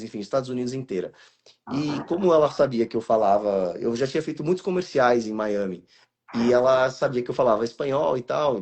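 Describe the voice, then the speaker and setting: A man's voice: medium pitch, darkish tone. A Brazilian actor in his thirties, speaking in a casual live interview and telling his career story with many restarts and fillers. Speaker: guest actor and voice actor.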